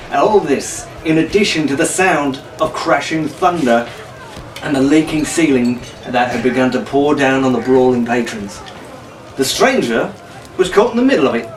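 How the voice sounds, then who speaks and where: A man narrating an adventure story in a dramatic, scripted audiobook style. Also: on the high side. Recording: roomy, distant mic, faint noise.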